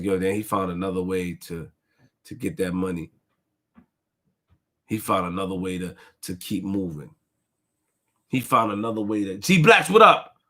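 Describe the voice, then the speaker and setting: A man hosting a casual livestream, shouting out viewers, reading donations and repeating phrases to his chat. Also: on the low side. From Haitian family roots. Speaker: livestream host.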